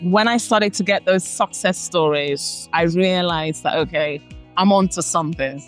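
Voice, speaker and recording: A woman's voice: lowish voice, a little slow, soft background music. A woman with a Nigerian accent, a company founder speaking as an interview guest in an emphatic, encouraging way. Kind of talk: motivational interview talk.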